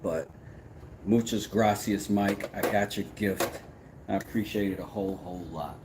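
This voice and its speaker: A man with a deep, low voice talks casually on a live stream, mixing brash trash talk with loose rambling. His audio carries a clear layer of background noise from his home workshop. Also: moderate pace. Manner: brash, trash-talking, then rambling and relaxed. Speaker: woodworking streamer.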